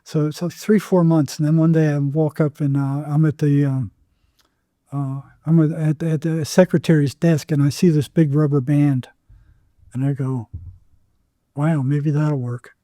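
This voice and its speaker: Older man, very dark mellow voice. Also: fairly high pitch, flat delivery, no room sound, studio quality. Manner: halting, repeats words, acts out remembered exchanges. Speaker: psychotherapist guest. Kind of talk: conversational storytelling in an interview.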